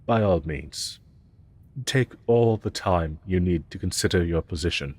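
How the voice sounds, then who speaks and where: A man's voice: deep voice, a little slow, a little mellow, husky. A man voicing a haughty, grandiose but tender dragon professor in a scripted fantasy roleplay, speaking in archaic, pompous, formal phrases. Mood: haughty, affectionate.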